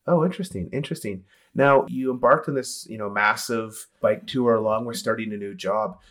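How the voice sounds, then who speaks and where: A man with a fairly low voice hosts an interview. He talks casually and conversationally with his guest. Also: brisk, a bit bright. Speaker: interview host.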